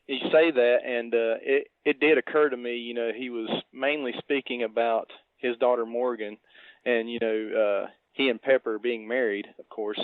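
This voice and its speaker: Phone-line man, halting co-host. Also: medium pitch, fairly even intonation, no echo. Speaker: podcast co-host. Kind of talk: casual film discussion.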